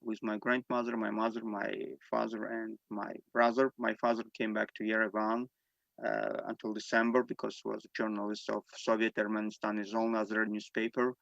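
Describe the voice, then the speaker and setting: Gravelly, flat-voiced man on a call. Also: fairly low pitch, no room sound, muffled recording. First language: Armenian. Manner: halting, many fillers and restarts. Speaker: panel speaker giving testimony. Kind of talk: unscripted spoken testimony.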